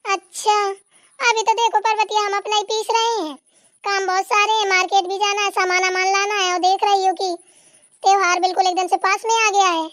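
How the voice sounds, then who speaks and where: A performer voicing a busy, hospitable older village aunt in a Hindi cartoon, brisk and insistent. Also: very high voice, little pitch movement, a bit bright, dry recording, studio quality. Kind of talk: Hindi family cartoon dialogue.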